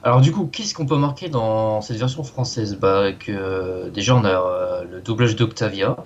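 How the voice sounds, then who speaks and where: A man's voice: lowish voice, normal speed, a little hiss or hum. Man reviewing casually, slightly dull audio. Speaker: co-host of a video review. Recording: dull, lo-fi microphone sound.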